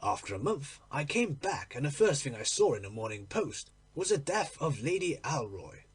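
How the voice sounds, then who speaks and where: A man reading a literary story aloud in an expressive, theatrical manner. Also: mid-range voice, normal speed, husky. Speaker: audiobook reader. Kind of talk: dramatic literary story narration.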